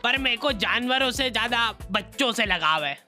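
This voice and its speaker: A man's voice: extremely high pitch, animated, moderate pace, bright, forceful tone, soft background music. A man narrating a comedy cartoon in a casual, brash, mock-serious storytelling style. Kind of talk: casual comic storytelling for animation.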